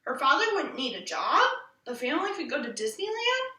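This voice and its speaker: Animated high-voiced woman reading a storybook. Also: a little fast. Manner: animated, acts out the quoted lines. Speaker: reader of a children's book aloud. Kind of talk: expressive storybook read-aloud. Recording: roomy echo, distant from the mic.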